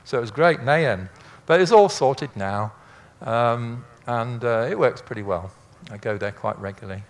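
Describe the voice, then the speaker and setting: A man's voice: mid-range voice, normal speed. Chatty older man giving an informal talk. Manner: chatty, rambling, anecdotal with dry humour. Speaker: speaker, former air traffic controller. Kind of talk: informal illustrated lecture.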